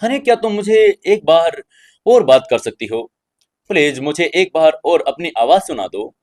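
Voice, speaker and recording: A man's voice: a little high, sing-song, unhurried, a little mellow, dry recording, studio quality. Man playing a coaxing, lovestruck young husband. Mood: pleading. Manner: pleading, coaxing.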